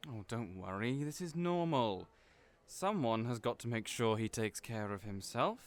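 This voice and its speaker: A man's voice: medium pitch, animated, unhurried. A man voicing a flamboyant, fussy male hairstylist who teases and mothers his clients in an audio roleplay. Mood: teasing.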